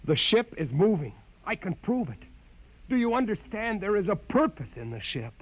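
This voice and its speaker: An actor playing an impassioned young heretic in a 1950s radio drama, earnest and intense, with a husky voice. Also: male voice, sits high, sing-song, normal speed. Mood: urgent, pleading. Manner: intense, urgent, pleading. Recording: muffled vintage 1950s radio recording.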